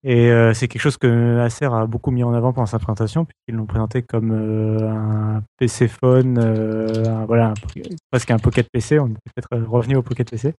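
A man talks through tech news with frequent hesitations. His delivery is flat and his tone is dark and mellow. The sound is dry and close. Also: mid-range voice, a little fast, hardly any pauses, no room sound. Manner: hesitant with many fillers. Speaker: tech reporter guest. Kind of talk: casual podcast chat.

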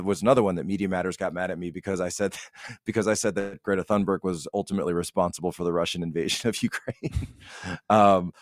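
Male political commentator, lowish voice, conversational and emphatic, leaning on repeated phrases, very little room sound. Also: no echo, studio quality. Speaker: podcast guest, political commentator.

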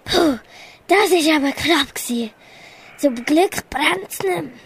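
A vain, dramatic lion, acted by a teenage girl in an amateur radio play. The voice is very high and takes its time, with theatrical self-pity and a Swiss German accent. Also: animated, a little slow. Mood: distressed. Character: vain, dramatic male lion proud of his mane.

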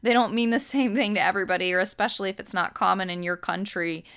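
An American woman in middle age speaks to her listeners like a teacher, earnest and explanatory. Her voice is smooth and clean, sitting at an ordinary pitch. She doubles words now and then when she wants to stress a point. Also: fairly even intonation, fast talker, fairly bright tone. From United States. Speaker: podcast host and birth educator. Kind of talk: teaching monologue.

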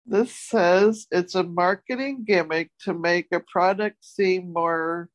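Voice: mid-range voice, fairly even intonation, slow pace. A co-host chatting casually and with good humour while presenting words. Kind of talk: casual chat, presenting new dictionary words.

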